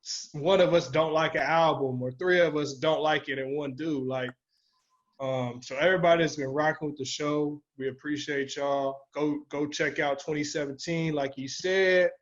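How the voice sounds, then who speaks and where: Male podcast co-host, slightly high voice, loose slangy chat with swearing, slightly dull recording. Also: steady tone, moderate pace. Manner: informal and slangy, swears freely. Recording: dull, lo-fi audio.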